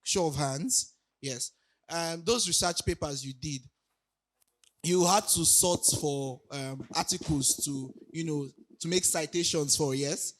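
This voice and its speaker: A young man speaks at a conference, his voice raspy as he recovers from illness. He sits a little higher than most men and stops often to hesitate or restart a thought. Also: a little slow, poor audio. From Nigeria. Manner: hesitant, many ums and restarts, laughs lightly. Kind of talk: casual conference talk. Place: conference hall.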